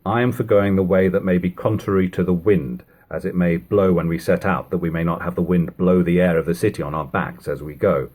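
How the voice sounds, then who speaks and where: A man reads a wary seaman from a period novel. The husky, slightly low voice weighs each doubt and reason deliberately. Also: steady tone, a little mellow. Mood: cautious, reasoning. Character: cautious, doubtful sailmaker and seaman, male.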